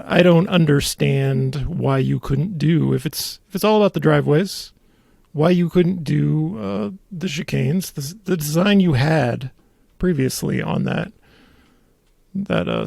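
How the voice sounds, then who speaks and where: A man's voice: on the high side, sing-song, measured pace, creaky, a little mellow, no room sound, clean studio sound. A man hosting a local politics show, delivering indignant, opinionated commentary with emphatic repetition. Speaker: podcast host.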